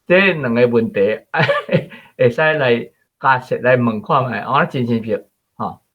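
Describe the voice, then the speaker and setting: Husky man chatting, slightly muffled. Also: medium pitch, slow pace. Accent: Taiwanese. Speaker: radio show guest or host discussing the story. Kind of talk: casual literary discussion.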